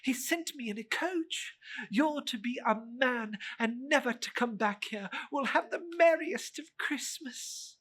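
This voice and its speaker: A narrator voicing an affectionate, excited little sister in a breathless, gushing, childlike manner for a storybook reading. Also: medium pitch, measured pace, pitched above the performer's own voice. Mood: eager, tender.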